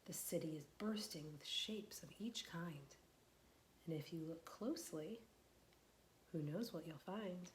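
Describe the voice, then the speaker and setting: A young woman librarian leading a storytime for young children, asking questions in a sing-song voice and dropping to soft whispers for suspense. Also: low-pitched, measured pace, a little hiss or hum.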